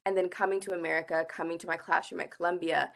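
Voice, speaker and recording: A woman's voice: fairly low pitch, flat delivery, fast talker, creaky, a bit bright. A young woman from the Bahamas, a climate activist guest answering interview questions earnestly, with frequent "like" and "I think". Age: young adult.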